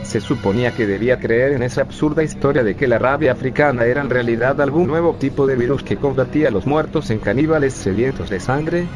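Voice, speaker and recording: A man's voice: medium pitch, quick pace, hardly any pauses, lightly acted. A synthetic male voice voicing a professor who bitterly recalls his days as a young refugee. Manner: bitter, sarcastic storytelling. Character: urban planning professor recalling his youth. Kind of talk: scripted narration. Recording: music bed underneath.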